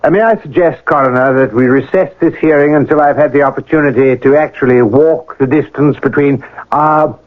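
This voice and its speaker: An actor playing a shrewd, methodical police inspector in a radio mystery drama, deliberate and musing, with polite authority. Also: male voice, on the high side, close to an everyday voice. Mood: measured, suggesting. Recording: lo-fi old radio broadcast recording.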